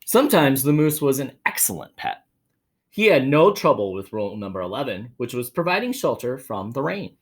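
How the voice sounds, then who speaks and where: A man with a very animated, swooping delivery reads a picture book to children. He is dramatic with the story and slips into casual asides as he goes, speaking to the kids directly. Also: mid-range voice, moderate pace, husky. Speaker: children's read-aloud host, teacher or school staff.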